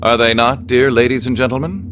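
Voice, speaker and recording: An actor playing a cool, refined young noble strategist in a video game, composed and slyly confident. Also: male voice, medium pitch, fairly quick, a bit bright, slight background noise, close to an everyday voice. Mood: proud, confident. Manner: refined, composed, smoothly commanding. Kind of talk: dramatic video game cutscene acting.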